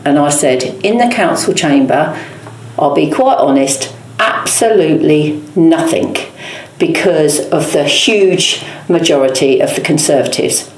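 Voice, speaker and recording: A woman's voice: sits low, darkish tone. An English woman speaking as a local councillor in an interview, plain-spoken and emphatic as she campaigns. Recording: echoey room.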